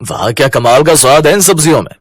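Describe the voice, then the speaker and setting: A man's voice: a little high, animated, fast. An actor playing a hungry, demanding man of the household in a cartoon moral story. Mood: delighted.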